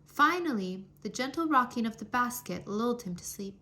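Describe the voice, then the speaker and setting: A woman reading a children's history story aloud in an expressive, deliberate, scripted storytelling manner. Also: on the high side. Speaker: storybook reader.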